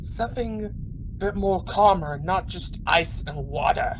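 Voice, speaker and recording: Guy acting nervy questioning submarine crew guy, gravelly, radio play, faint noise behind. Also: high voice, a little slow. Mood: wary. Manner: wary, questioning. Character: uneasy, doubting male crewman on an alien-ocean submarine. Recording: muffled recording with faint noise.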